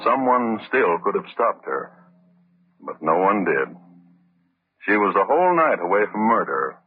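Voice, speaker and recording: A muffled old radio recording of a grave crime storyteller. His low voice delivers literary lines deliberately, with music underneath. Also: very animated. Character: grave, measured male true-crime storyteller. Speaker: narrator. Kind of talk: scripted old-time radio narration.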